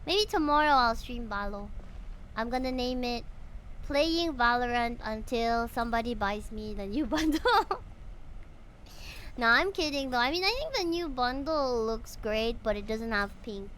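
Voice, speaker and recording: A woman's voice: on the high side, expressive, normal speed, fairly bright tone. A woman with a Filipino accent, a native Bisaya speaker, chatting playfully on a live game stream and sometimes reading dialogue aloud. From Philippines. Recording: noisy live stream, game audio behind. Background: game sound and voices.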